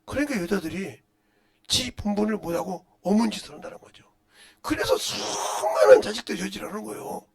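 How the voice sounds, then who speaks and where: Middle-aged man, pastor, high-pitched for a male, wildly swinging intonation, blunt scolding sermon delivery, mutters then booms. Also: lively intonation, brisk, gravelly. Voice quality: loud, booming voice. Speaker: pastor preaching. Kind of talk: impassioned Korean-language sermon with rhetorical questions.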